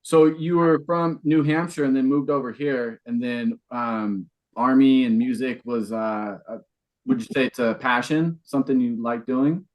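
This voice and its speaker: A man's voice: medium pitch, fairly even intonation, unhurried, rough recording. Casual male podcast host. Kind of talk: casual conversational interview.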